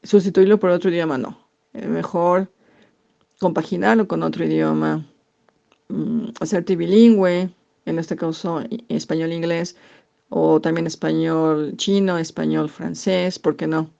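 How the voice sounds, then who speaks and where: A Mexican woman answers interview questions slowly and thoughtfully, pausing on fillers as she works out each point. Her voice carries a gravelly edge, and the recording sounds dull and lo-fi. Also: sits low, steady tone, dark tone. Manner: thoughtful, halting with frequent fillers. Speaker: interviewee, English teacher.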